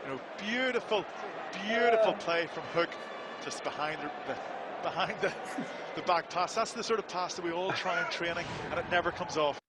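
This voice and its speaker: A man doing live rugby color commentary in a slightly raised voice. He talks in a chatty, conversational way. The broadcast audio is buried in loud, noisy stadium sound. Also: high-pitched, moderate pace, over a noisy crowd.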